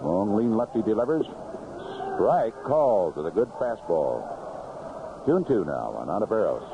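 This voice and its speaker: A noisy, dull old radio broadcast carries an American man calling baseball. His pitch swings in a lively way as he narrates each play in clipped, unhurried phrases, in the manner of a 1970s play-by-play announcer. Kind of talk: live radio sports commentary, 1970s. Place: outdoor ballpark broadcast booth.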